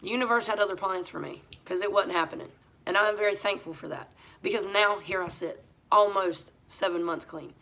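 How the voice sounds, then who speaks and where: A woman talks through a head cold, her voice hoarse yet bright and edged with tension. She speaks bluntly and swears easily, in a casual confessional monologue to her viewers. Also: medium pitch, brisk. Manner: talks over her hoarseness, voice pushed a little louder than usual. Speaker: vlogger sharing her recovery story.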